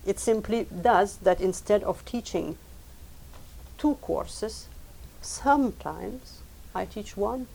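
A woman whose first language is Lithuanian, a professor and translator, talking in a measured, thoughtful way and repeating words as she thinks. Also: medium pitch, animated, vocal fry, warm tone. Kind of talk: recorded oral history interview.

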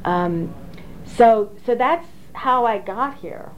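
A woman in her forties, an engineering professor, recalling her career slowly with many fillers and restarts. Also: on the high side, lively intonation. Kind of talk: oral history interview. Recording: dull lo-fi recording with faint hiss.

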